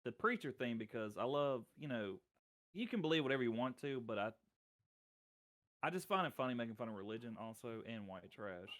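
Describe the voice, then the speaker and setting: A man's voice: medium pitch, lively intonation, brisk, a bit bright. A man chatting casually as a podcast guest, blunt, profane and irreverent. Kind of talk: casual chat.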